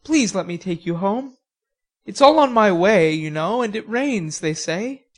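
Woman reading as a courteous young man, gentle and friendly, storybook reading. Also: medium pitch, animated, unhurried.

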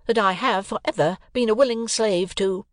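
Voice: medium pitch, normal speed, no room sound, clean studio sound, pitched above the performer's own voice, natural voice. A reader voicing a snappish, sarcastic older sister who delivers long reproachful tirades in an audiobook. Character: snappish, scolding older sister, sharp-tongued. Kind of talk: audiobook character voice.

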